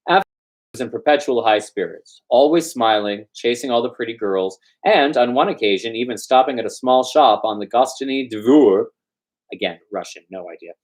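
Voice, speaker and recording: A man's voice: medium pitch, moderate pace. A man reading a story aloud as host, with a theatrical, playful storytelling delivery. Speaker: host and reader of a storytime show. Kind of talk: dramatic storytelling read-aloud.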